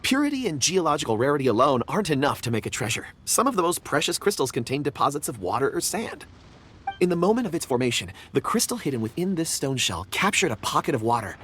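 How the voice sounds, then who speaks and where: A man's voice: fairly high pitch, big pitch swings, quick pace, a bit bright, slight background noise. A man voicing a genial, absent-minded young rock enthusiast in a video game, polite and eloquent. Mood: admiring, eloquent. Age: young adult. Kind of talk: video game dialogue acting.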